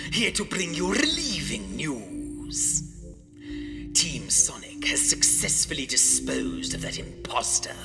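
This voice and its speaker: Pompous mad-scientist dictator: gravelly, swooping delivery, condescending and theatrical, audio drama villain. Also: male voice, a little high, long reverb tail, exaggerated voice. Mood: grandiose, smug. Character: pompous, gloating evil dictator scientist addressing his subjects.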